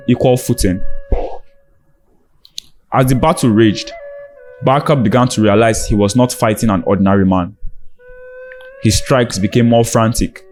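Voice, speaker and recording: A man's voice: medium pitch, darkish tone. A man narrating a scripted dramatic folktale as a storyteller. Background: background music.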